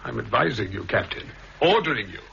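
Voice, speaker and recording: Gravelly, commanding British intelligence official. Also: male voice, medium pitch, lively intonation, a little fast, fairly bright tone. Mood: pressing, commanding. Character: suave, scheming intelligence official, coolly commanding. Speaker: actor in a radio drama. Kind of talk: 1960s British radio drama acting. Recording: muffled, hissy 1960s radio recording.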